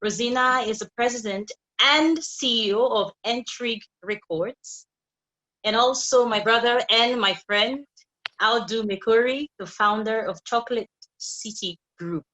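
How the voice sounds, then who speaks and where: Bright-voiced woman moderating a panel. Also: fairly high pitch, a little slow, dull audio. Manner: lively, laughing often, repeats words. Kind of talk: casual panel hosting.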